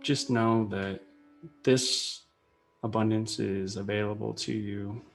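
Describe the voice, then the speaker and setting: A man guides a meditation in a fairly low voice with a marked creak. He speaks very slowly, in short phrases with long pauses. Music plays behind him. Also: fairly even intonation. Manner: unhurried, pausing between short phrases.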